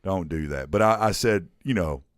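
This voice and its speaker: Casting: young male podcast host, lowish voice, dry close sound, loose joking storyteller. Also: moderate pace, no echo. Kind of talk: casual podcast chat.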